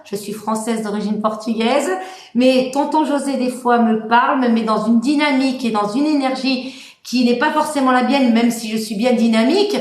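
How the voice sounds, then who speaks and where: A woman with a high voice talks warmly and loosely to her viewers, full of fillers and restarts. The sound is roomy and echoey, as if she is streaming from a phone in a reverberant room. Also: moderate pace. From France. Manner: warm, rambling, many fillers and restarts. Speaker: medium hosting a live stream. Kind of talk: casual live-stream chat.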